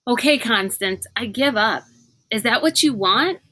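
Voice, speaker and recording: A woman voicing a thoughtful young boy leader in a children's storybook, reasoning with a strained calm. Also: a little high, a little slow, dry recording, exaggerated voice. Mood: frustrated. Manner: reasoning, placating. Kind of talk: children's storybook read-aloud.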